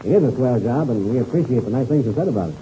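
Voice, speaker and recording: An actor playing a friendly, breezy American pilot and mentor with an American accent in a 1930s radio serial. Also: male voice, mid-range voice, lively intonation, very fast, warm tone, close to an everyday voice, low-quality recording. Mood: hearty. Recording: faintly hissy old radio recording.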